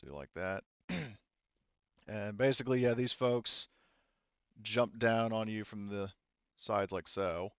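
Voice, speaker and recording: Low, smooth-voiced man running a tabletop game. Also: no room sound, dull audio. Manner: halting, thinking aloud while checking rules and setup. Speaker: game master.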